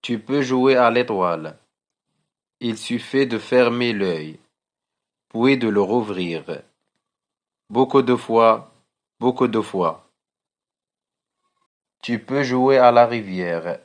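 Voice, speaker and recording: Very slow-speaking man reciting poetry. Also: mid-range voice. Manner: deliberate, with measured repeated phrases. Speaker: teacher reciting a poem for pupils.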